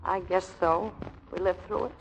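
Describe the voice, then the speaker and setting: An actress playing a quick-witted young woman posing as an officer's wife, in theatrical 1940s radio comedy style. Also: lowish voice, fairly even intonation, vocal fry, highs cut off, a little hiss or hum. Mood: coy, hesitant.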